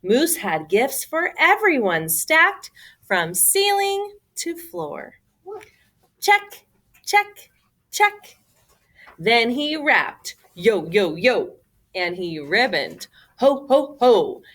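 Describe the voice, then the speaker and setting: A woman tells a children's picture story in a fairly high voice. She reads at an unhurried pace with big swings in pitch, leaning theatrically on key words. The delivery is expressive and made for young listeners. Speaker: read-aloud storyteller. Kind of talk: animated children's picture-book read-aloud.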